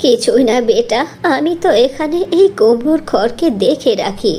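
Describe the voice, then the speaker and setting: A woman voicing a kindly, selfless old guardian woman in a fairy tale cartoon, speaking in a gentle, instructive way. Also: a little high, animated, moderate pace, slight background noise, natural voice. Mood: kindly, solemn.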